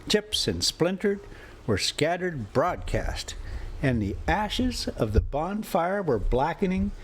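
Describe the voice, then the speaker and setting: Slow, dramatic male story reader. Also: on the high side, sing-song, slight background noise, rough recording. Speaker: host reading a classic story aloud while driving. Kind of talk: dramatic audiobook-style narration of an adventure story. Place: inside a moving motorhome.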